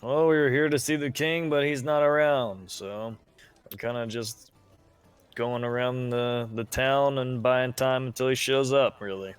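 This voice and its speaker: A man's voice: mid-range voice, fairly even intonation, unhurried, clear, resonant tone, no echo. A young man playing a tabletop game, talking in an easygoing, laid-back way. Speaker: player. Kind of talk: casual in-character play. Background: soft music.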